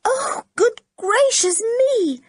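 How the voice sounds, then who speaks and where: A woman voicing a frightened, excitable young girl who shrieks high and breathless. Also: moderate pace, dry recording, clean studio sound. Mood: embarrassed.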